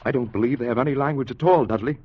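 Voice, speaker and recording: An actor playing a bold, authoritative scientist and time traveller in an intense 1950s radio drama style. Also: male voice, mid-range voice, rapid-fire, lightly acted. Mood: grave, assured. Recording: muffled, rough vintage radio recording.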